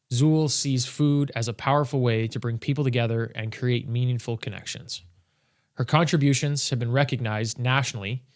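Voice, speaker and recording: A man hosts an interview podcast in a relaxed, easygoing way. His voice sits at a middle pitch, and he uses plenty of fillers and short backchannels. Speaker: podcast host.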